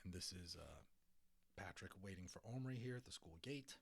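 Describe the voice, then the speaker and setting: A man narrating a children's audiobook in an expressive, dramatic storytelling manner. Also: sits low, moderate pace, gravelly. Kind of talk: dramatic children's story reading with informal asides.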